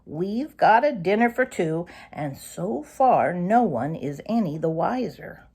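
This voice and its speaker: A woman's voice: on the low side, big pitch swings, slow pace, a little mellow, slightly rough, full character voice. Woman as a snappish, bossy old granny fox. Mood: firm. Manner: snappish, commanding. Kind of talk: children's storybook read aloud.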